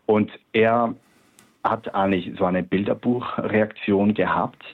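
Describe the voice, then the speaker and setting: A man from Switzerland, a guest telling his own story in a hesitant, reflective way, often pausing. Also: fairly low pitch, fairly even intonation. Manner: hesitant, reflective, with frequent pauses and fillers. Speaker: guest artist. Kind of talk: personal storytelling in an interview. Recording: tinny phone-like line.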